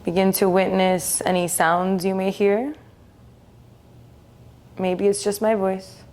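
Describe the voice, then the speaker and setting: A woman leading a guided meditation in a soothing, gentle, unhurried way, leaving long pauses between phrases. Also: mid-range voice, little pitch movement.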